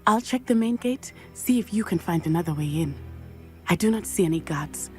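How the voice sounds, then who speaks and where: A woman voicing a brave, earnest teenage schoolgirl in a video game, with measured, formal wording. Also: mid-range voice, animated, soft background music, natural voice. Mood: serious, concerned. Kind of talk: video game cutscene acting.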